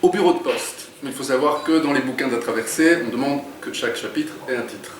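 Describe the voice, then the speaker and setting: A man's voice: mid-range voice, a little fast. A thoughtful, slightly hesitant man, an author, speaking in an interview. His voice carries the echo of a large room. It sounds well away from the mic, with a faint hum beneath it. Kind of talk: interview, reading aloud. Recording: roomy echo, distant mic.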